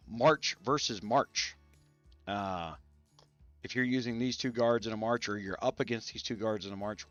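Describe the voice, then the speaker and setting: A man with a gravelly, irritated voice, hosting a rambling casual chat about a strategy game and stopping now and then to cough. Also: lowish voice, a bit bright, dry recording, dull audio. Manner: rambling, many restarts and fillers. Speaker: gaming channel host. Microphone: studio mics set up to spare his voice.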